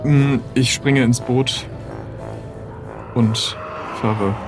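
A man with a medium-pitched voice takes part in an improvised adventure game. He thinks aloud and announces each move deliberately, with clear music behind him. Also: steady tone. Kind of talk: improvised interactive audio role-play.

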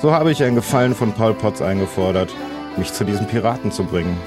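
A man's voice: fairly low pitch, lively intonation, fast talker, over music, close to an everyday voice. A man playing an eager, green middle-aged craftsman who wants to be a pirate, in an improvised audio adventure with hesitant delivery. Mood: determined.

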